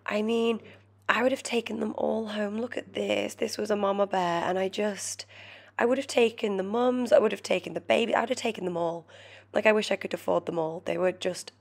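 A woman's voice: medium pitch, fairly even intonation, fairly quick, creaky, a little mellow. A British woman in her twenties with a nasal, cold-congested voice, giving a chatty, gushing vlog voiceover. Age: 20s. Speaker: vlogger. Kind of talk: casual voiceover narration.

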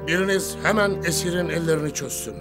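A man playing a dignified, humane older army commander in a war cartoon, speaking in a solemn, formal, measured way. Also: on the high side, close to an everyday voice. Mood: composed, courteous. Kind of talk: cartoon war drama dubbing. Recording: music playing under the voice. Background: background music.

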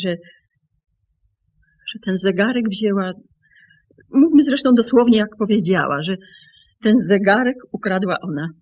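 An actress playing a grave, composed woman with a secret to confess in a radio play, speaking deliberately with pauses. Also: mid-range voice, darkish tone, breathy edge, natural voice. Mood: solemn confession. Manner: deliberate, measured, broken by pauses.

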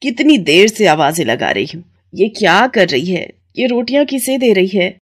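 A woman performs story dialogue. Her mid-pitched voice swings in a lively, expressive way. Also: moderate pace, slightly rough.

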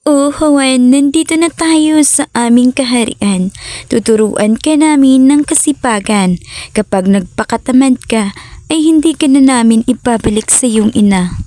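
A solemn enchantress from a storybook cartoon, played by a woman, whose high, measured voice brooks no argument. She speaks with firm finality. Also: mellow. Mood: stern. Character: stern enchantress fairy, female, ageless.

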